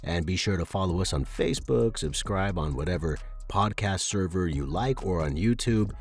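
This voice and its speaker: A man's voice: sits low, lively intonation, moderate pace, breathy edge, soft background music. A man hosting a fiction podcast and narrating scripted horror in a dramatic style.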